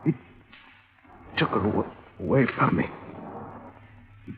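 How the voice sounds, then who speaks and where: A man's voice: lowish voice, very animated. An actor playing a wounded, dazed man who keeps repeating himself in a 1950s radio drama. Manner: weak, halting, repeating himself. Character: wounded, dazed man grieving a lost woman. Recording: tinny old radio recording.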